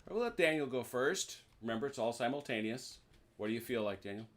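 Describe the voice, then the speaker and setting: A man's voice: medium pitch, animated, fast. A man hosting a video call, casually walking players through the rules of a tabletop wargame with plenty of restarts. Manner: chatty, explains rules with many restarts and "uh"s. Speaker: host teaching a tabletop wargame.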